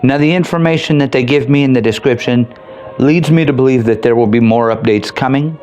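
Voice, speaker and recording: A man's voice: medium pitch, a little fast, a little mellow, muffled recording, faint music behind. A man giving casual commentary as he plays and reviews a video game. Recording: faint background noise. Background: game ambience.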